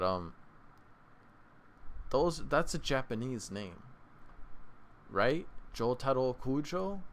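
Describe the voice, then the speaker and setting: Casual solo reviewer: man, mid-range voice, rambly, tired late-night delivery with excitable spikes, faint room hiss. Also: mid-range voice. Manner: rambling, restarts often, excitable bursts. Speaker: anime reaction channel host.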